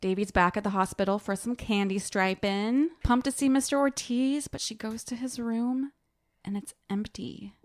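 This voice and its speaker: A woman co-hosting a podcast in casual book-discussion chat, animated and jokey in manner. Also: on the high side, normal speed. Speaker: podcast co-host.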